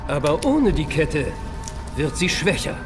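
A man's voice: on the high side, very animated, measured pace, music in the background. An actor playing a deferential, fascinated lab doctor explaining things to his boss in a video game. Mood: fascinated, reporting. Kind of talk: video game cutscene acting.

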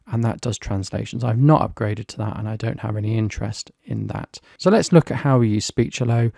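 A British man from the UK reviewing and demonstrating a piece of software in a casual, explanatory way. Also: on the low side, a little fast, creaky, no echo, clean studio sound. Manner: plain, explanatory, lightly chatty. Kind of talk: screen-recorded software tutorial.

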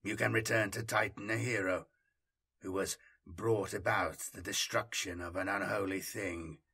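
This is a man acting out a stern space marine captain in a science fiction audiobook. He speaks slowly and deliberately, with cold, commanding authority. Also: lowish voice, steady tone, gravelly, sharp tone, slightly rough, pitched below the performer's own voice, heavily acted. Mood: cold, threatening. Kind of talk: dramatic audiobook character reading.

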